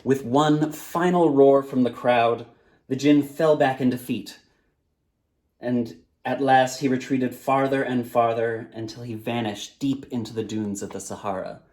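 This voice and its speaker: A man reading a storybook aloud as its author, in a dramatic, measured narrating style. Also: medium pitch, fairly even intonation, a little mellow.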